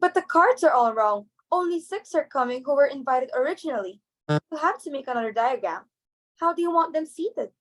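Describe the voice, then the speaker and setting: A woman playing an anxious, bungling young society daughter in a dramatic reading of a stage comedy, with an excitable, pleading delivery. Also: high-pitched, fairly quick.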